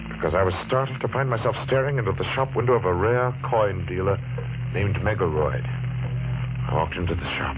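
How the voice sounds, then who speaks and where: A man's voice: sits low, noisy background, close to an everyday voice. An actor playing a haunted man recounting his youth with dramatic, suspenseful delivery in 1940s radio thriller style. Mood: subdued. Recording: tinny old radio recording, loud music.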